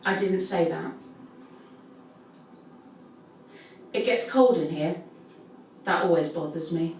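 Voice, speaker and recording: A woman's voice: lowish voice, moderate pace, darkish tone, close to an everyday voice. A woman playing a guarded pub worker who tells local ghost tales in hesitant, clipped answers, as in a recorded interview. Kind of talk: naturalistic audio drama interview. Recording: echoey room, distant mic, faint noise.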